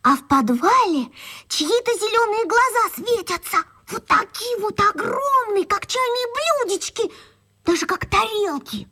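An excitable little girl, very high and bright, swooping widely as she talks. She is boastful and stubborn but easily spooked, and puts dramatic weight on her words, in children's radio play style. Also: measured pace, heavily acted. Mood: excited, spooked.

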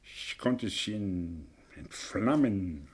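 An actor playing a vain, eloquent older intellectual in a German radio play, scornful and theatrical, speaking in grand rhetorical phrases. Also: male voice, sits low, expressive, drawn-out words, breathy edge, heavily acted. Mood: boastful reminiscing. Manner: grandiose, rhetorical delivery with dramatic swells and hushes.

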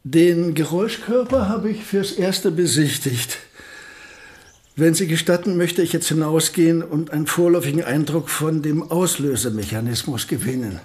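Man playing a courteous, higher-voiced whimsical physicist. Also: a little mellow, close to an everyday voice. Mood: courteous, gently ironic. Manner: polite, formal, deliberate phrasing. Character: famous male physicist, courteous, whimsical and modest. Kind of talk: radio drama acting.

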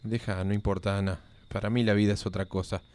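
A man acting a resigned, gentle young outcast in a live radio play. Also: on the low side, little pitch movement, fast, clean tone, natural voice. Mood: resigned, subdued.

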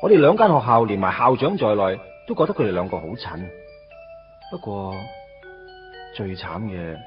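An actor playing a lovesick, self-deprecating teenage schoolboy in a radio drama, confiding and wry, in Hong Kong Cantonese. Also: male voice, lowish voice, animated, normal speed, close to an everyday voice. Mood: wry, jealous. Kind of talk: radio drama narration and dialogue. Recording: dull lo-fi radio recording with music.

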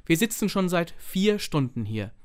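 An actor playing a worn-out, pleading opponent in a comedy audio play. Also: male voice, a little high, big pitch swings, moderate pace. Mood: fed up. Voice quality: pleading, fed up. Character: exasperated opposing player.

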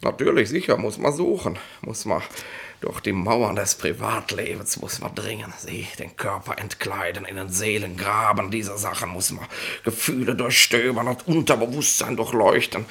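A pompous, creaky-voiced police inspector. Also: male voice, lowish voice, normal speed, a bit bright, heavily acted. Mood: officious, musing. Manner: officious, rambling, keeps tagging on questioning words. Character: pompous bullying male police inspector, easily amused. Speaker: actor in a radio comedy. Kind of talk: 1960s German radio play acting.

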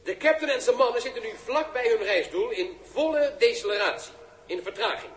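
An actor playing a brisk, showy forum host with a bustling announcer manner in a 1960s radio play. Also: male voice, very high voice, animated. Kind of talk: 1960s radio play acting as live presenter. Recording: echoey, noisy early 1960s radio recording.

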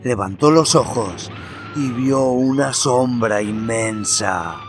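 A man reading a horror story aloud in a slow, theatrical way, then presenting notes on film music. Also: mid-range voice. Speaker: narrator and podcast host. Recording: narration over loud music.

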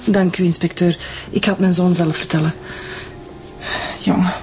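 A woman playing a distraught middle-aged mother in a naturalistic radio drama, halting and emotional. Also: lowish voice, steady tone, over music, close to an everyday voice. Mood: shaken, grieving. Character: distraught middle-aged mother, grieving but holding herself together.

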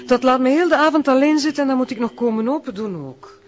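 An actress playing a jealous, sharp-tongued Flemish woman with a sarcastic, indignant manner in a naturalistic TV drama. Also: high voice, fast talker, close to an everyday voice. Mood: annoyed. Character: jealous, sharp-tongued woman scolding her police partner. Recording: dull lo-fi audio with faint noise.

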